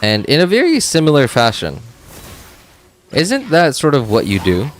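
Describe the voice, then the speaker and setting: A man's voice: on the high side, huge pitch swings, normal speed, a little hiss or hum. A man streaming a game in a casual, rambling chat, thinking aloud and tossing in exclamatory asides. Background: game music and dialogue.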